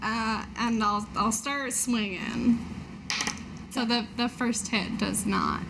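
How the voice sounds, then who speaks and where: A woman's voice: fairly high pitch, steady tone, slow, creaky, noisy background. A woman playing a tabletop role-playing game, chatting casually in a wry, self-deprecating way.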